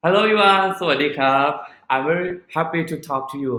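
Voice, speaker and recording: A man with a Thai accent gives a halting self-introduction in a fairly high, bright voice. He pauses to hunt for words, and the room echoes around him. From southern Thailand. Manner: hesitant, searching for English words. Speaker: pageant contestant.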